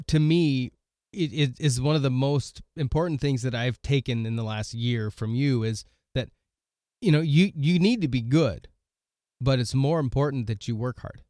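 A man's voice: mid-range voice, normal speed, mellow, no room sound. A male interview host with a mid-range voice, recorded dry with no room sound. He speaks thoughtfully and hesitantly, with plenty of fillers. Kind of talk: conversational interview.

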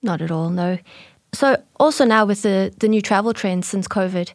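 A woman with a South African accent co-hosts a casual podcast, chatting in a friendly way with frequent "um"s. Her voice is mid-pitched, and the recording is dry and close. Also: normal speed, no echo. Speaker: podcast co-host, marketing manager.

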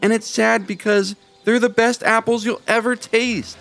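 A man voicing an excited young male squirrel in a storybook reading, chatty and eager. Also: very high voice, a little slow, vocal fry, soft background music, pitched above the performer's own voice, full character voice.

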